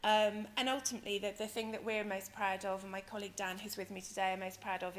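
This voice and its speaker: A woman with a croaky, hoarse voice giving a conference presentation as a company director, explaining things in a hesitant, wordy way. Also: medium pitch. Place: conference venue in London, speaking to an audience. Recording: noisy conference hall ambience.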